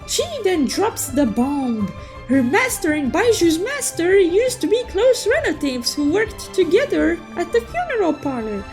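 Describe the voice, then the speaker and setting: A woman voicing a cute, excitable young unicorn who recaps a story with playful, theatrical exclamations. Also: very high-pitched, exaggerated voice. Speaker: storytelling host. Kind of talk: animated story recap narration.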